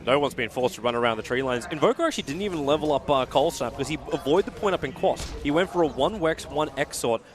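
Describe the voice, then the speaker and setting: A man with an Australian accent giving excitable play-by-play commentary on an esports match as caster. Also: fast talker, few pauses, a crowd in the background. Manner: excitable, rising pitch in big moments.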